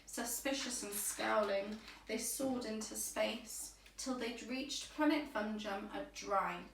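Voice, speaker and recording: Unhurried woman reading a bedtime story. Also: on the high side. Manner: deliberate, expressive, measured phrasing. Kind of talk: rhyming bedtime story read aloud for children. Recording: roomy echo, distant microphone.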